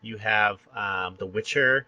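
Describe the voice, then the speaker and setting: A man's voice: on the low side, sharp tone, muffled recording. A man hosting a podcast interview, chatting casually and enthusiastically in a rambling, fannish way. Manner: enthusiastic, rambling, quick to laugh. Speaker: podcast host interviewing a guest. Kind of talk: casual fan chat interview.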